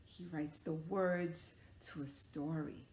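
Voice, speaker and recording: A woman leads storytime for young children at an unhurried pace. She asks questions as she goes and acts out the sounds. The room echoes and there is some noise behind her. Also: low-pitched, fairly even intonation, a little mellow. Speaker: teacher telling stories to young children. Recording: roomy echo, clear background noise.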